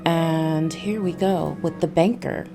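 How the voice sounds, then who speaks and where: A woman hosting a casual game livestream, talking in a halting way with drawn-out pauses. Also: low-pitched, fairly even intonation, music in the background. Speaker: livestream host. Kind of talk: casual game stream, reading a visual novel aloud.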